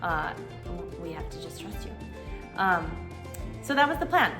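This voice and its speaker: A woman's voice: on the low side, very animated, measured pace, over music. A middle-aged American woman talking conversationally in a personal storytelling vlog, earnest and reflective, with emphasis on key words. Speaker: vlogger telling her own story.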